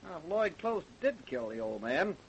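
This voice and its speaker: Keen, high-pitched investigator. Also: male voice, sing-song, moderate pace, husky. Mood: persistent. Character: keen, methodical male investigator, observant and persistent. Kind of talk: old-time radio crime drama acting. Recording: hissy old radio recording.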